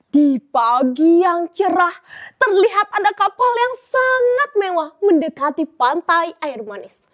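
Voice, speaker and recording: A teenage girl telling a folktale in a school storytelling contest, expressive and dramatic, speaking to judges and audience. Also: very high-pitched. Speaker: student storyteller.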